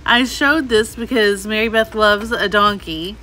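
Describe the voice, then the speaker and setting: A woman's voice: medium pitch, measured pace, pushed and bright, a little hiss or hum, poor audio. A middle-aged woman giving casual, chatty shopping vlog commentary, gushing over the items she looks at. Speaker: host, antiques reseller. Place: inside an antique mall.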